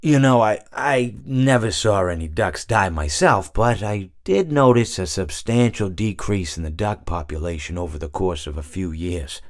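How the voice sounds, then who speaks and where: A man's voice: sits low, lively intonation, normal speed, hardly any pauses, no echo, studio quality. A man voicing an elderly, mild-mannered hippopotamus animatronic for a video game, rambling absent-mindedly, hesitating and correcting himself. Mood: wistful rambling. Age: older. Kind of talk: character monologue for a video game.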